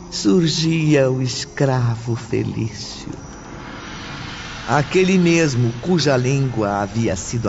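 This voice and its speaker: A man with a Brazilian accent reading a dramatic story in a solemn, theatrical manner. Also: medium pitch. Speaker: audiobook narrator. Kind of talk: dramatic scripted narration of a spiritist novel. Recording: dull lo-fi audio with music behind.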